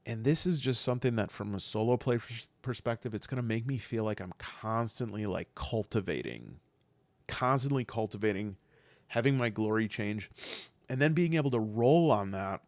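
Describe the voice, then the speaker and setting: A man with a fairly low, gravelly voice, recorded close and dry with almost no room sound. He talks through game rules casually and earnestly, stopping and restarting as he thinks, and he sniffles from a cold that's coming on. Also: lively intonation, normal speed, no echo. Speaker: solo tabletop game player and podcaster. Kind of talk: casual rules review, reading aloud and commenting.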